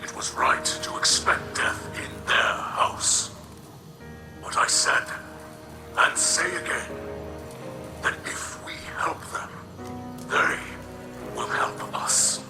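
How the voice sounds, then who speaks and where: An actor playing a solemn, weary but hopeful alien leader in a video game, with a breathy, rasping whisper and formal, halting phrases. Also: male voice, over music, natural voice. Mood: solemn, rallying. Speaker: leader and mentor. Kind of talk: video game cutscene acting.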